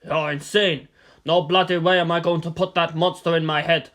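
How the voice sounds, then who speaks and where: A performer voicing a blunt, indignant military general in a story reading, loud, blustering and defiant. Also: medium pitch, steady tone, normal speed, close to an everyday voice. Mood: outraged.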